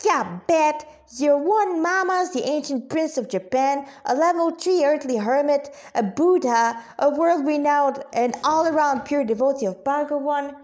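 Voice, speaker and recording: A woman voicing a brash, excitable female cat spirit in a story, slangy and emphatic. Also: high-pitched, measured pace, hardly any pauses, voice pushed up. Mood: joyful boasting.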